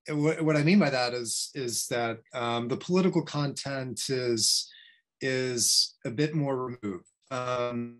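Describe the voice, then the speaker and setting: A middle-aged male lecturer with a medium-pitched voice presents his research over a video call. He speaks informally and haltingly, working through his thoughts as he goes. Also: fairly bright tone. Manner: halting, thinking aloud, self-correcting. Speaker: history professor presenting research. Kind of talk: informal academic talk with slides.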